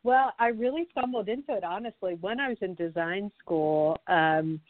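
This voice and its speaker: A woman's voice: mid-range voice, moderate pace, narrow, tinny audio. A woman whose first language is French, talking through her career in a chatty interview with many fillers and easy laughs. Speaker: podcast guest, fashion founder. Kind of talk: conversational interview.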